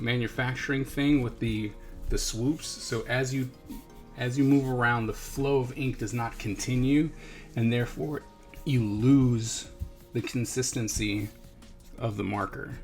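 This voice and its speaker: A man giving a casual hands-on product review, thinking aloud informally and repeating himself as he muses. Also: medium pitch. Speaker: host of an art supply review series. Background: background music.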